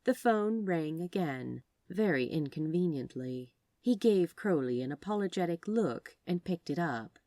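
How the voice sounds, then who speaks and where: A woman's voice: fairly low pitch, lively intonation, unhurried, few pauses, dark tone, dry recording, studio quality. A woman narrating scripted fiction expressively, as a storyteller reading aloud.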